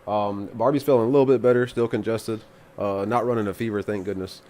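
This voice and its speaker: A man's voice: on the low side, steady tone, a little fast, a little mellow. A man hosting a live music review stream, congested from the flu, talking in a rambling, laid-back way with casual swearing. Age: adult with children.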